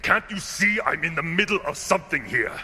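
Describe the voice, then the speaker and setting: A man's voice: fairly high pitch, expressive, a little fast, sharp tone, breathy edge, heavily acted. An actor playing a smug, gloating businessman villain in a radio drama, speaking in a pompous, taunting, theatrical way. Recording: music under the voice.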